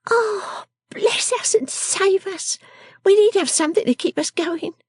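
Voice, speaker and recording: A woman voicing a sharp-tongued, sickly young girl dressmaker from a storybook, bossy, scolding and snappish. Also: extremely high pitch, unhurried, dry recording, studio quality, pitched above the performer's own voice. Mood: quaint, brooding. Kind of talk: storybook character acting.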